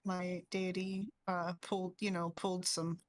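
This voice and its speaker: A woman playing a tabletop role-playing game, chatting casually in character in a halting, rambling way. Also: on the low side, level tone.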